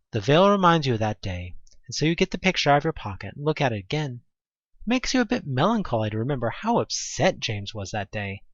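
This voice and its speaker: An expressive storyteller narrates a horror audio drama in the second person. The medium-pitched voice swings up and down with conversational asides. The recording is dry and slightly muffled. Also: dry recording.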